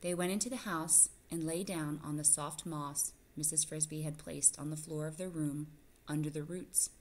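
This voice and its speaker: Woman reading a children's story aloud, lowish voice. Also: steady tone, normal speed, darkish tone. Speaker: guest reader of a children's novel. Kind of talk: expressive read-aloud storytelling.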